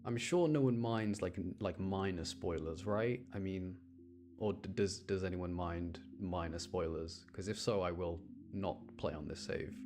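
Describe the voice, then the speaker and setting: A man's voice: low-pitched, unhurried. A man giving casual gaming commentary on a livestream, thinking aloud in an informal way. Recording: game music behind the voice. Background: video game music.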